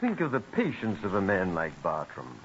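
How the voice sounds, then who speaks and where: A man's voice: sits low, wildly swooping pitch, normal speed, heavily acted. An actor playing a skeptical, impetuous statesman with a wry, formal manner in an old radio drama. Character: Alexander Hamilton, brisk skeptical impetuous statesman. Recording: muffled old radio recording with hiss.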